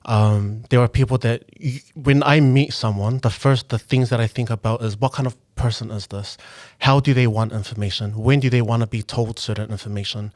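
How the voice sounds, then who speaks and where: A young man from New Zealand, a guest on a podcast, talking earnestly and reflectively with frequent pauses and restarts. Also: medium pitch, steady tone, darkish tone, no room sound, studio quality. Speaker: guest, local politician and engineer. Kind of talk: casual podcast conversation.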